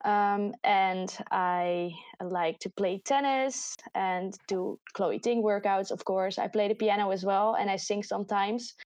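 A woman's voice: mid-range voice, steady tone, measured pace, hardly any pauses, darkish tone, no echo. Woman in her twenties, Dutch accent, chatty co-host, laughs a lot, dry close recording. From Netherlands. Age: 20s. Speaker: podcast co-host. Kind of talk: casual chat. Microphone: voice chat recording.

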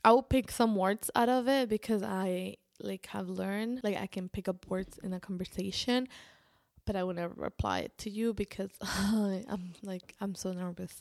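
Creaky-voiced woman chatting casually. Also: mid-range voice, steady tone, a little mellow, dry recording, clean studio sound. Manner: informal, halting, restarts sentences and quotes herself often. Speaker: vlogger. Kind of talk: casual personal storytelling to camera.

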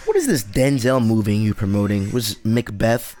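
A man's voice: on the low side, expressive, normal speed, darkish tone. A man in his late twenties hosting a solo podcast, rambling through loose, profane casual commentary. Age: 20s. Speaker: podcast host.

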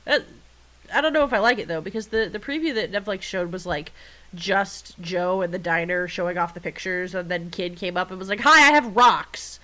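Congested woman co-host, bright forceful tone, rambling jokey delivery. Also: on the low side, normal speed, low-quality recording. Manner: chatty, jokey, rambling storytelling. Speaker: podcast co-host. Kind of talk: casual chat.

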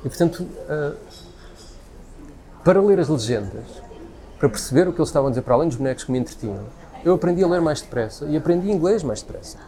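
Fast-talking Portuguese man, emphatic. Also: a little high, animated, mellow. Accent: European Portuguese. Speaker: speaker sharing opinions in a conversation. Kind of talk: spontaneous opinionated conversation.

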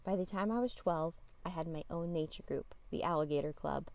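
A woman reading a children's picture book aloud as a storytime host, with expressive emphasis on key words. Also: low voice, a little fast. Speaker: storytime podcast host and reader.

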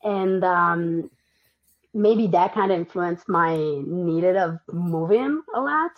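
A woman's voice: lowish voice, slow. A woman who grew up in Italy, a podcast guest chatting casually and hesitantly with lots of ums and restarts. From Argentina and Italy. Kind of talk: casual interview chat. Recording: dull lo-fi audio.

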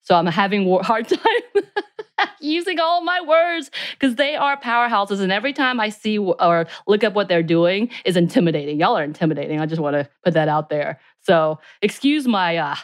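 A woman co-hosting a podcast, talking in an excited, rambling way with some mumbling as she interviews guests. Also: mid-range voice, normal speed, no room sound, clean studio sound. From Asia, raised in the U.S. from age seven. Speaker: podcast co-host. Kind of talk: casual interview chat.

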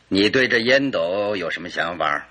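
An actor playing a keen-eyed, wry gentleman detective in a radio drama, husky and deliberate in delivery. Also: sits low, animated, full character voice. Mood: observant. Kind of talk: Chinese radio drama acting.

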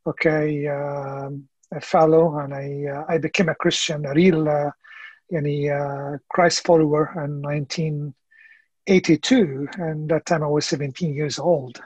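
A man, a ministry leader talking in a halting, earnest way with many fillers as a podcast guest. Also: a little high, flat delivery, a little mellow, slightly rough, dry recording. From Egypt. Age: late 50s. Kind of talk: casual interview conversation.

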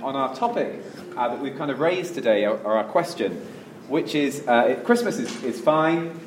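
A male host asks a guest questions on stage in a relaxed, friendly way. He sounds set back from the microphone in a roomy, echoing hall, with a faint hum of background noise. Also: mid-range voice, distant mic. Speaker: event host. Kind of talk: live interview on stage.

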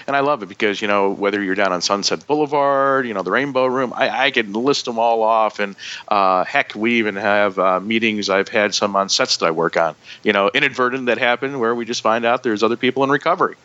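A man's voice: on the low side, brisk, sharp tone, clean tone, no room sound, lo-fi sound. A man with a northern American accent, a guest in a casual interview, talking in a rambling way with many fillers and restarts. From northern United States. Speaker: podcast guest.

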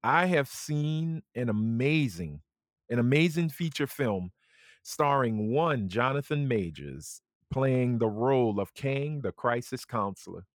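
A man hosting a casual chat podcast, playful and teasing as he steers the talk to the breaks. His pitch moves all over the place. The sound is close and dry, with no room around it. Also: unhurried, no echo. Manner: animated, teasing, runs the breaks. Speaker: podcast host.

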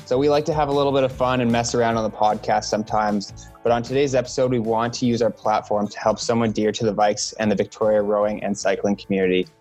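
A young man hosts a podcast and introduces his guests. He talks quickly, in a slightly low voice. His delivery is upbeat, and quiet music plays faintly behind him. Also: steady tone. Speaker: podcast host. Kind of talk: podcast hosting.

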